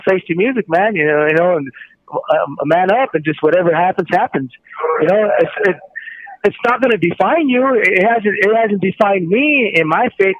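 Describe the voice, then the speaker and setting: A man calling in over a phone, talking casually and earnestly, with emphatic repeated phrases. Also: sits high. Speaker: guest calling from prison. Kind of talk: casual, testimonial talk. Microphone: telephone handset. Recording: tinny prison phone line.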